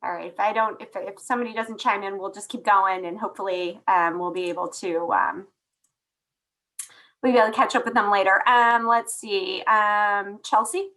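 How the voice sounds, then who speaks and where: A woman's voice: medium pitch, fairly even intonation, moderate pace, pushed and bright, low-quality recording. A woman hosting a video call meeting, calling on people in turn with many fillers. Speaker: meeting host.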